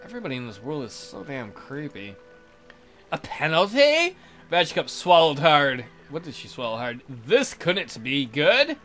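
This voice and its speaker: A man's voice: mid-range voice, big pitch swings, normal speed, few pauses, fairly bright tone, soft background music. A man hosting a let's play, reading out a visual novel and mocking it with crude joking commentary.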